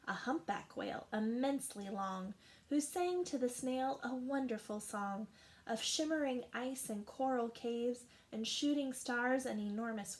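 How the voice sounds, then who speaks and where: Slow, expressive woman reading a storybook. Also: on the high side. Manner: slow, expressive rhyming narration. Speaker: teacher reading a picture book aloud. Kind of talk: storybook read-aloud.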